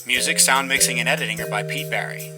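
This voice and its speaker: Man reading credits over loud music. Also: mid-range voice, fast talker. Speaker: credits announcer. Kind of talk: scripted credits narration.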